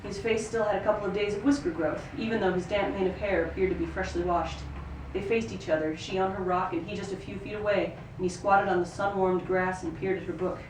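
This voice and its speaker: A woman reading a novel excerpt aloud at a live reading, in an expressive storytelling manner with occasional stumbles. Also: medium pitch, brisk, hardly any pauses. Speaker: actor reading a book excerpt. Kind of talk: live literary reading, third-person narration. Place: live reading in a roomy venue. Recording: roomy, distant microphone, noisy ambience.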